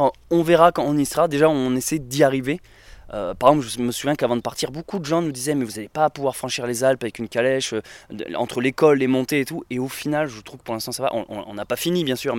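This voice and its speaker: A man's voice: medium pitch, no echo. A man chatting casually in an interview, rambling with many fillers and restarts. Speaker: interviewee, traveler and videographer.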